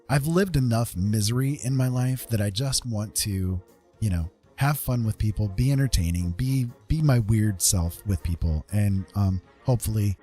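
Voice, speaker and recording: Male livestream host, lowish voice, casual confessional chat, halting delivery, faint music underneath. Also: warm tone.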